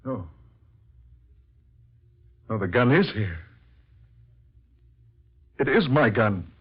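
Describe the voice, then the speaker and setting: An actor playing a guilt-crazed, doomed man in melodramatic, halting 1940s radio horror style. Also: medium pitch, big pitch swings, breathy edge, full character voice. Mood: dazed despair. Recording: muffled old radio recording with music.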